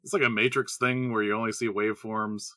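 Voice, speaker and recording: Man playing a sharp-voiced exasperated gnome. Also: fairly low pitch, little pitch movement, a little fast, no room sound, studio quality. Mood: exasperated, practical. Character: exasperated gnome adventurer translating for raccoons. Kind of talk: comedic audio drama dialogue.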